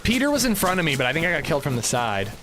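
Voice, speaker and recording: A man's voice: fairly high pitch, big pitch swings, very fast, noisy background. A man streaming a video game, chatting casually with his chat in a rambling, chatty way and swearing freely. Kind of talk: casual livestream chat while gaming.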